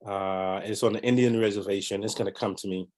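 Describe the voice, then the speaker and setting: A man's voice: fairly low pitch, fairly even intonation, fairly quick, a little mellow. Rambling, upbeat man from the Bahamas. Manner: rambling, many fillers and restarts, upbeat. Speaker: podcast guest, realtor. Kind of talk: casual interview talk.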